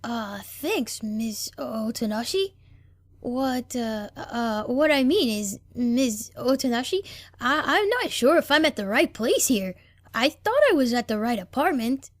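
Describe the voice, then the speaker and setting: A woman voicing an excitable, bashful little boy in a fan audio drama. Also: on the high side, a little slow, no room sound, studio quality. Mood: flustered.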